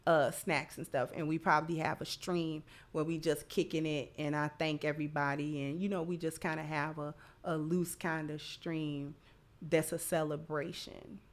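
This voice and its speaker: Woman livestream host chatting casually w her viewers, slightly low voice. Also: flat delivery, moderate pace. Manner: effusive in thanking supporters. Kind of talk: casual livestream commentary chat.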